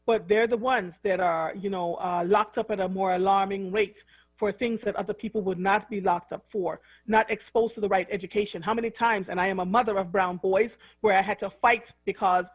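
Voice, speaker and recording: A woman hosting a radio show, her voice husky and a little breathy. She reads out a news piece and talks it through earnestly and emphatically. She speaks into a studio mic at a middle pitch. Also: fairly even intonation, fairly quick, highs cut off. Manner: earnest, emphatic, with frequent fillers. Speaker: radio host. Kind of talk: reading a news article aloud, then commenting.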